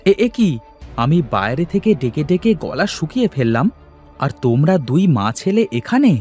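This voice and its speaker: A man's voice: fairly high pitch, big pitch swings, normal speed, darkish tone, soft background music. A man playing a hardworking village farmer and father in a cartoon moral story, easily exasperated and grumbling at his family. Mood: indignant.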